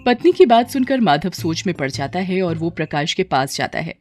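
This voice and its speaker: Female storyteller, slightly low voice, animated intonation, scripted narration over faint music. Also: normal speed.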